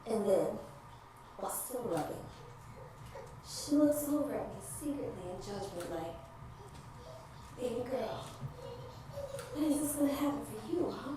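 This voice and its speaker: A woman's voice: on the high side, expressive. Echoey, distant, anguished wife played by a woman. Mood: pained, subdued. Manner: dramatic, slow pauses, emotionally raw. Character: anguished married woman grieving her infertility. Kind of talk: theatrical stage monologue. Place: indoor stage theatre. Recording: echoey stage hall, distant mic, faint noise.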